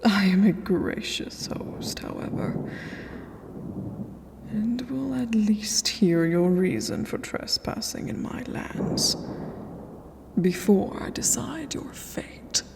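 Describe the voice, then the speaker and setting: A woman's voice: mid-range voice, lively intonation, takes it slowly, background noise. A woman voicing an ancient vampire queen in a fantasy audio roleplay, regal and threatening, often dropping to a whisper. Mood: cold threat. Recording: long hall reverb, music underneath. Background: clear background music.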